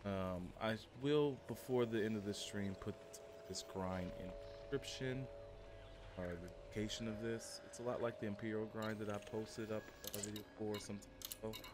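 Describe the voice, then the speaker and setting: A man with a fairly low voice talks through his gameplay for a livestream. His delivery is casual and halting, as if thinking aloud while he plays. There is clear noise behind him on the recording. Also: steady tone. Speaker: game livestreamer. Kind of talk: casual gameplay commentary and walkthrough. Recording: clearly noisy home stream audio.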